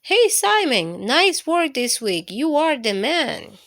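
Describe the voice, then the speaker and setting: A woman plays a gleeful little storybook germ, pitched high and full of itself. It brags and schemes with theatrical flair. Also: very animated, slow pace, fairly bright tone, full character voice. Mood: boastful, friendly. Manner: theatrical, bragging. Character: boastful, gleeful little cartoon germs, scheming and dramatic. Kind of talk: picture-book character voice.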